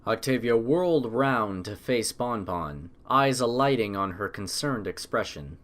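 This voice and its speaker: A man's voice: lowish voice, lively intonation, a little slow, fairly bright tone. A man narrating a scripted story with a dramatic storytelling manner.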